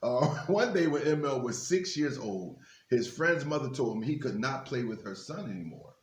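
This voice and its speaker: A man's voice: mid-range voice, animated, normal speed. Echoey man reading to children. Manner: encouraging, addresses young listeners directly with informal asides. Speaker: guest reader at a children's book reading for a basketball team's literacy program. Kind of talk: reading a children's book aloud with chatty asides to kids. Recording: echoey, reverberant room.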